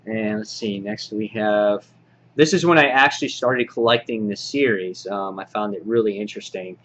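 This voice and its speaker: A man giving an informal, halting show-and-tell commentary as a hobby vlog host, in an understated way. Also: fairly low pitch, a bit bright. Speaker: vlog host showing a comic book collection. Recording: dull lo-fi audio, clear background noise.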